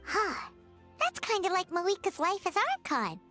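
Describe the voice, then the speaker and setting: A woman voicing a small, childlike, excitable fairy companion in a video game, with a squeaky, cutesy delivery. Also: normal speed, full character voice. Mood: questioning, earnest. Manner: squeaky, cutesy, refers to herself by name. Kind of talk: animated video game dialogue. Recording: game music behind.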